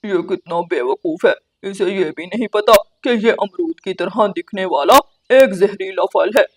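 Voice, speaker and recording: A woman plays a male crow trickster in a children's cartoon. He is boastful and scheming, with a mid-pitched voice and a sly, taunting delivery that needles whoever he talks to. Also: a little slow, natural voice. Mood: mocking. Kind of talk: Hindi children's cartoon dialogue.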